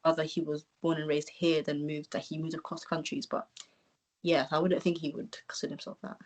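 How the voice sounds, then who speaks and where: A woman, a podcast guest, speaking hesitantly and often trailing off into a quieter voice. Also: low voice, level tone, fairly quick. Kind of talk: casual conversational interview.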